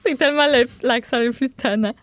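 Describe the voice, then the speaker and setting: A woman's voice: high-pitched, no echo. Teasing Quebec woman radio co-host. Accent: Quebec French. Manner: teasing, quick retorts. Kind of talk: casual radio banter. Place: radio studio.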